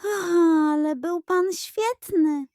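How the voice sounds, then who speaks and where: A woman voicing a small, excitable child frog with a squeaky way of talking in a children's story. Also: drawn-out words, dry recording, studio quality, full character voice. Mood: delighted. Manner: squeaky, crying out.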